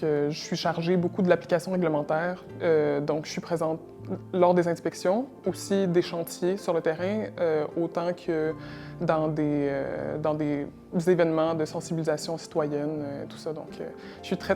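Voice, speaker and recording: A young woman with a low, mellow voice answers interview questions slowly and hesitantly, stopping often on fillers and repeating words. She grew up in Russia. Soft music plays behind her. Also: little pitch movement. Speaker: interview guest, environmental technician.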